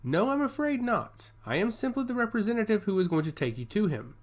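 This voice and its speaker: A man voicing a genial old robed temple liaison with a booming, courteous, unhurried delivery. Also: big pitch swings.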